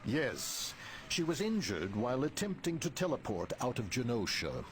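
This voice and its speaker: Guy voicing a formal commanding mutant leader in a video game, swings up and down in pitch, very measured wording. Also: sing-song, slight background noise, natural voice. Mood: grave, measured. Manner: formal, deliberate, measured diction. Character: composed, commanding male mutant leader briefing his team. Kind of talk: video game cutscene voice acting.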